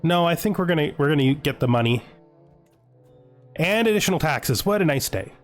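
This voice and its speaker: A man's voice: on the high side, animated, moderate pace. Man thinking aloud over game music. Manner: thinking aloud, deliberating choices. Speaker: video game streamer. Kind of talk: casual gameplay commentary, reading game text aloud.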